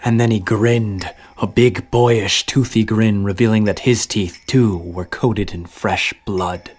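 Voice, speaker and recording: A man playing a brooding narrator who recalls a horror tale in an ominous, deliberate, husky voice. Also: lowish voice, steady tone. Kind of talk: scripted horror story narration.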